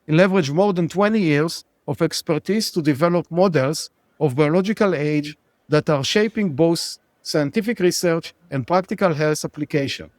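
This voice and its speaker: Man, podcast host, slightly raised pitch, hesitant phrasing, very dry sound. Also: normal speed, no echo. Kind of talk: hosting an interview.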